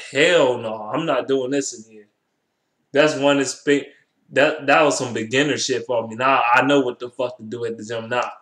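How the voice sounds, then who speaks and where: A man's voice: medium pitch, fairly bright tone. An American man in his twenties chatting casually to camera in slangy, profanity-heavy speech, often repeating himself. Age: 20s. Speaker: vlogger. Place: small bare indoor room. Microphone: webcam at arm's length. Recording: echoey room, webcam-style microphone.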